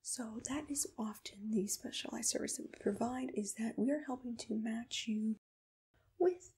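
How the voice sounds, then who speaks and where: A woman whispering softly and attentively in a soothing, reassuring ASMR roleplay. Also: on the high side, low-quality recording. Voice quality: breathy whisper.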